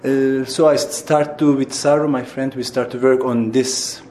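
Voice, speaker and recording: A theatre director from Turkey speaking Turkish-accented English in an interview, halting and searching for words with many fillers and restarts. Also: male voice, medium pitch, steady tone, a little mellow, slight background noise. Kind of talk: interview answers.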